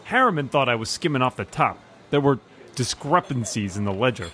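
Man playing streetwise shady manager, quick slangy tough talk, defensive, detective radio drama. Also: medium pitch, lively intonation, sharp tone. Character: streetwise, uneducated, shady business manager, defensive wise guy. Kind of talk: old-time detective radio acting.

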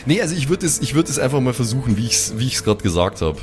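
A man plays along in an improvised role-playing game. His voice sits at a middle pitch, and he talks in loose, slangy sentences. Music plays clearly behind him. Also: sing-song, fast talker. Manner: colloquial slangy German with English bits. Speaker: player in an improvised tabletop role-playing show. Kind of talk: casual improvised in-character chat.

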